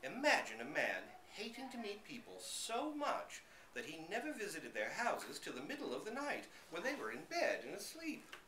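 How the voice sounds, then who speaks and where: A man reads a story aloud with a very animated, bright delivery. It is a live indoor reading with room echo and a faint layer of noise. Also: high-pitched, moderate pace. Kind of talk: expressive first-person story reading. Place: indoor public reading in a bookstore. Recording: roomy live recording, slight background noise.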